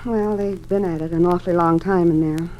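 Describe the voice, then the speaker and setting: Actress as practical, gently firm woman, faint noise. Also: fairly low pitch, steady tone, fairly quick, dull audio, close to an everyday voice. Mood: matter-of-fact. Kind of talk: mid-century radio drama acting. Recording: old radio recording with background noise.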